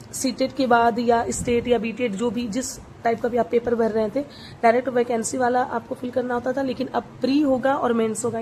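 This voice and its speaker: A young woman coaches students for a teaching exam. Her voice sits a little high, and she goes back over each step patiently. A faint hiss of noise runs under the recording. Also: a little mellow, low-quality recording. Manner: repeats points, talks the listener through steps. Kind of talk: explaining an exam form.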